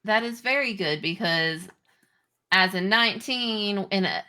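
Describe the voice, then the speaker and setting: A woman game master improvising narration and rules in a halting, conversational way. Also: medium pitch, pushed and bright. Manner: halting, conversational, pieced-together descriptions. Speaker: game master of a tabletop roleplaying game.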